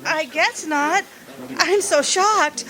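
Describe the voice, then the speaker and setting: A woman playing a polite married hostess who feigns shock, with a drawn-out, exclaiming delivery in an amateur radio mystery. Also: high voice, pushed and bright, heavily acted. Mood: shaken, evasive. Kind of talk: amateur old-time radio mystery acting.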